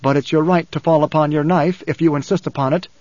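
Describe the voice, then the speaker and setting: A resolute young son and desert prophet played by a male audiobook reader speaks with grave, measured certainty. His voice sits a little high and never bends in its solemn manner. It has the dull, echoey sound of an old cassette. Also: fairly even intonation, a little mellow, lightly acted. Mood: firm. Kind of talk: audiobook character acting.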